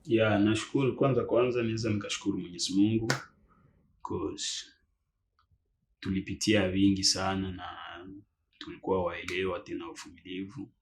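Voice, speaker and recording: A man's voice: low-pitched, fairly even intonation, brisk, slight background noise. A man from Congo speaking with heartfelt gratitude in a documentary interview. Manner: grateful, heartfelt.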